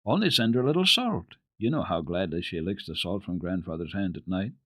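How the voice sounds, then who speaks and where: A man's voice: sits low, animated, fairly quick, a bit bright, dry recording, clean studio sound. A man voicing a cheerful, comforting young mountain girl in a dramatic storybook reading, eager and reassuring. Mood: eager, helpful.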